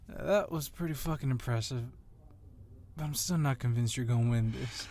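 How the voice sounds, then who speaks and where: Guy doing a smug teasing cop wrestler, sly and confident, medium pitch, asmr roleplay. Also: normal speed, a little mellow, natural voice. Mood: goading. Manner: teasing, needling. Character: smaller taunting policeman wrestler, confident, goading, sly.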